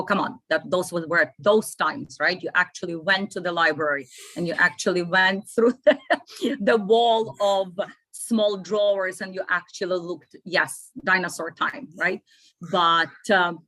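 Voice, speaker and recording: A woman's voice: lowish voice, bright, forceful tone, no echo, poor audio. A woman, an immigration consultant talking casually as a guest and repeating phrases for emphasis. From Ukraine. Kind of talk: casual interview talk.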